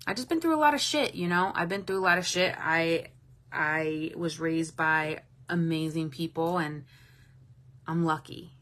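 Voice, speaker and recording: A woman in her late thirties talks openly to her livestream viewers, chatting and answering their comments as they come in. Her voice is bright and forceful, sitting somewhat low for a woman. She is frank and swears now and then. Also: a little slow. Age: 30s. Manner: candid and chatty, swears freely, warm to commenters. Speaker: livestream host. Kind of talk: casual livestream chat reading viewer comments.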